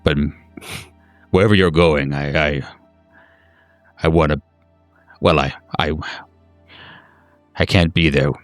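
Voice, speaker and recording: A man's voice: extremely low pitch, fairly even intonation, slow, long pauses, no echo, soft background music, voice pushed down. A man voicing an older father who stammers and repeats words, awkwardly sentimental and tearful. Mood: pleading, choked up.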